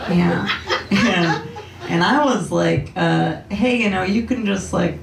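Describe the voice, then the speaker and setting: Young woman comic, low gravelly deadpan voice, slow halting delivery, heard from afar in a roomy club. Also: very noisy. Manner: slow, deadpan, hesitant delivery with many ums. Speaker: stand-up comedian. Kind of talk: deadpan stand-up set. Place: comedy club stage with laughing audience. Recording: roomy club sound from a distance.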